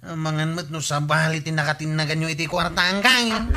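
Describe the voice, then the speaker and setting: An actor playing the village captain's questioning, exclaiming buddy in an Ilocano radio comedy, with an Ilocano accent. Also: male voice, on the high side, fairly even intonation, fairly quick, heavy background noise, lightly acted. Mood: alarmed.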